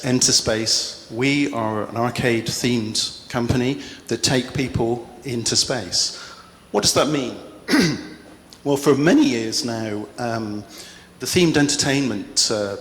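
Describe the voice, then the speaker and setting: Man presenting in an echoey hall. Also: mid-range voice. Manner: self-deprecating, relaxed presenter with frequent "uh" fillers. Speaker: conference speaker. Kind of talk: conference presentation. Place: large conference hall. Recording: echoey hall with faint noise.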